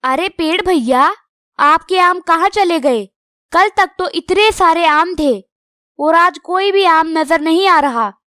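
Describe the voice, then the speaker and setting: A woman's voice: extremely high pitch, slow, sharp tone, no room sound, clean studio sound, heavily acted. A woman voicing a friendly, polite little female bird who sells mangoes in a children's cartoon. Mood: pleading, puzzled.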